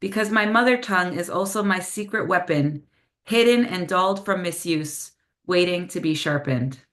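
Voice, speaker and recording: A woman's voice: lowish voice, expressive, a bit bright. A woman whose first language is Spanish, reading her own poems over a video call and chatting casually between them with lots of "um" and "like.". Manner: slower, measured delivery with Spanish phrases when reading poems. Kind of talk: poetry reading with casual spoken introductions.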